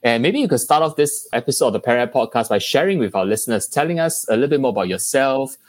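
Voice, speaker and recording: A man's voice: mid-range voice, lively intonation, quick pace, dry recording. A man hosting a podcast interview, polite and formal, thanking his guest often. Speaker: podcast host.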